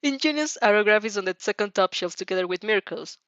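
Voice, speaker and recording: A woman's voice: medium pitch, expressive, quick pace, a bit bright, dry recording, muffled recording. A woman reading fan fiction as an amateur, narrating expressively and sometimes stumbling over or repeating words. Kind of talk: expressive story narration with character voices.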